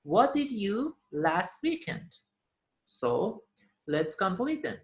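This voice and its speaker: A man's voice: sits high, very animated, slow pace. A man teaching an English class, repeating model phrases and example answers. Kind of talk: classroom lesson. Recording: roomy echo.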